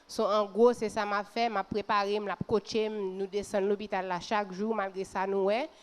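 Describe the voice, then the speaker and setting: A woman's voice: mid-range voice, flat delivery, normal speed. A woman in her thirties from Port-au-Prince introducing herself on a panel earnestly, dropping in English phrases. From Port-au-Prince, Haiti. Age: 30s.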